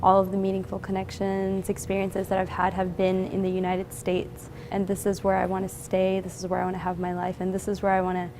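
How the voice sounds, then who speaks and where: A young woman from India, a university student giving a sincere, steady personal statement. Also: mid-range voice, a little fast, hardly any pauses, slight background noise. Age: young adult.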